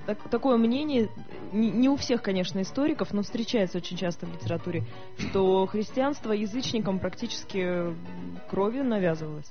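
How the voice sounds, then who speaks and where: A woman's voice: mid-range voice, animated, brisk. A woman co-host puts an inquisitive question to a guest, speaking in a plain conversational tone. The recording is muffled and lo-fi. Faint music plays underneath. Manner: inquisitive, interjecting. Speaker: talk-show co-host with esoteric views. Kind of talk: studio talk-show discussion.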